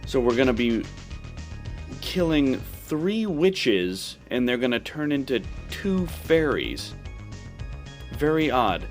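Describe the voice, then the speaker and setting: A man's voice: mid-range voice, big pitch swings, takes it slowly, soft background music. A man giving casual step-by-step commentary on a video game playthrough. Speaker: gaming video host.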